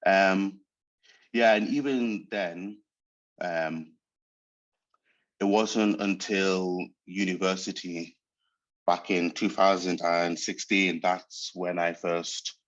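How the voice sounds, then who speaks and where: A man reflects on his path as an actor, pausing often to search for words. He grew up speaking Portuguese first. The sound is dull and muffled. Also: sits low, steady tone. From Angola. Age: 30s. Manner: thoughtful, hesitant, searching for words. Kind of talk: reflective interview monologue.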